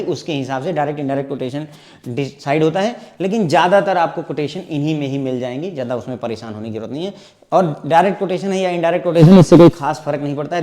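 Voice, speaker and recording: Indian man, exam prep teacher, fairly high voice, asks and answers his own questions, explanatory lecture. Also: darkish tone. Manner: asks the class questions and answers them himself.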